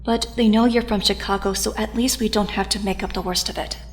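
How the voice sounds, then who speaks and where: A woman's voice: mid-range voice, steady tone, brisk, soft background music, lightly acted. An actress playing a grieving, headstrong teenage girl superhero in an audio drama, earnest and insistent. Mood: firm, caring. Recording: reverberant hall sound.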